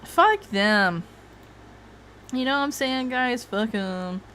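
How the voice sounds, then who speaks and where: A woman's voice: fairly high pitch, animated, slow, fairly bright tone, a little hiss or hum. A woman hosting a casual livestream, rambling and swearing freely, with frequent laughs. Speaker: streamer host.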